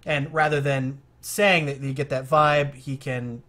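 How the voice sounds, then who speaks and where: Man, experienced game master, relaxed advice, lots of fillers, mid pitch. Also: a little slow. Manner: relaxed, many fillers, explanatory. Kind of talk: casual feedback discussion on a call.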